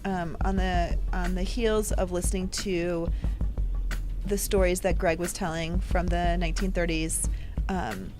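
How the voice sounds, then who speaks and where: A woman with a lowish voice hosts a radio talk show. She chats casually and asks thoughtful follow-up questions. Music plays clearly in the background. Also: fairly even intonation, unhurried.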